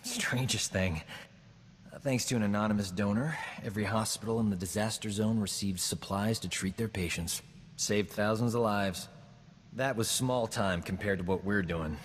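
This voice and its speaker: A man's voice: on the low side, normal speed, husky, big-room sound. A man voicing a roguish, boastful male doctor who talks his way out of everything, in a video game. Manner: boastful, glib, self-satisfied. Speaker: companion character.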